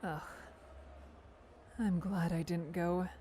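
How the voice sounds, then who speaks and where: A woman's voice: on the low side, fairly even intonation, slow, warm tone, a little hiss or hum. A woman playing an affectionate, teasing adult family friend in an audio drama, chatty and breezy.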